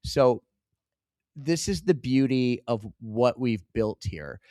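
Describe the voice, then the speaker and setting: Podcast co-host, male, hoarse from cheering, excitable and rambling, dry close sound. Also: mid-range voice, slow pace, no echo. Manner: excitable, laughing, stumbling restarts. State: hoarse, losing his voice. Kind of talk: casual chat.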